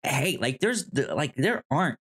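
A podcast co-host casually discussing films and comics in a halting way, often repeating sounds and words. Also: medium pitch, sing-song, a bit bright, breathy edge. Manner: halting, frequent stammered repetition of sounds and words.

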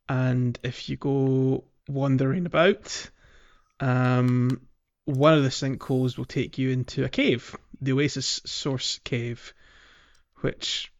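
Male podcast host, mid-pitched, hesitant conversational explainer with British colloquialisms, slightly dull recording. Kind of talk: casual video game walkthrough chat.

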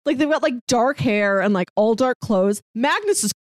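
Lively-pitched woman, close dry sound. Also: normal speed, dry recording. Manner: informal, conversational. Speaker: podcast host. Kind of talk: casual review chat.

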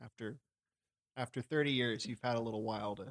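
A man's voice: medium pitch, a little fast. A man interviewing an artist in a casual, easygoing way. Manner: laughs often, easygoing questions.

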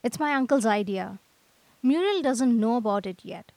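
A woman's voice: a little high, animated, moderate pace, a little mellow, no echo. A woman playing a gloomy, aggrieved young male artist in a radio drama, with theatrical lamenting. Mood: bitter self-pity.

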